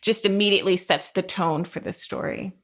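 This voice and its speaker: A woman's voice: mid-range voice, brisk. An American woman co-hosting a podcast, talking about books in an effusive, emphatic way. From Jacksonville, Florida, USA. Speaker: podcast co-host. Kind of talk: casual literary chat.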